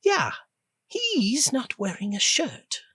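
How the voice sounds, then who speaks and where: A man voicing a confident, sharp-tongued young woman in an audiobook, with a clipped British accent. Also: very high-pitched, huge pitch swings, slow pace, slightly rough, no room sound, studio quality, pitched above the performer's own voice, full character voice. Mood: brisk. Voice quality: clipped, bossy.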